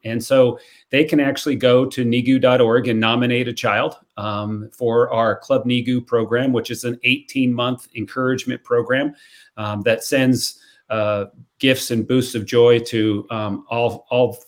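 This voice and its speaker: Earnest man with a mid-range voice. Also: mid-range voice. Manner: earnest, grateful, many fillers. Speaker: charity founder guest. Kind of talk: casual podcast chat.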